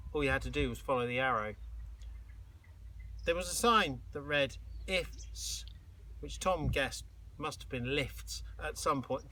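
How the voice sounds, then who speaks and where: Male storybook reader, teacherly and dramatic, forceful bright tone, some background noise on the recording. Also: mid-range voice, low-quality recording. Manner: dramatic, with exclaimed sound effects and suspenseful pauses. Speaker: teacher reading aloud to pupils. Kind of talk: expressive storybook reading.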